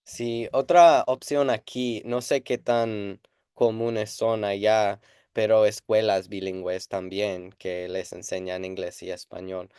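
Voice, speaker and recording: Smooth, low-voiced male interviewer. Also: flat delivery, dark tone, no echo, studio quality. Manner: friendly, encouraging, quick reactions. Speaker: interviewer, Spanish teacher. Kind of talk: casual interview chat.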